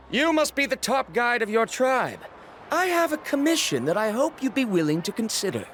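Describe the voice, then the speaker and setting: Formal scholar, higher man's voice, animated; game music under. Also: normal speed, exaggerated voice. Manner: formal, courteous. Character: formal male foreign scholar, polite.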